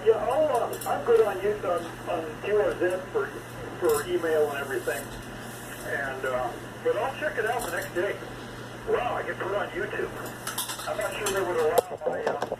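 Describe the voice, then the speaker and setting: High-pitched older man on noisy ham radio. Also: lively intonation, moderate pace. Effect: shortwave single sideband radio. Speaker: amateur radio operator. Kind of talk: casual chat over ham radio. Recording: noisy, echoey, distant single sideband radio reception.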